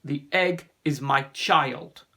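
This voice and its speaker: A man playing a solemn, husky father sea serpent. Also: on the high side, fairly bright tone, heavily acted. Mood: proud, firm. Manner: solemn, measured storytelling. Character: proud, grave father sea serpent storyteller.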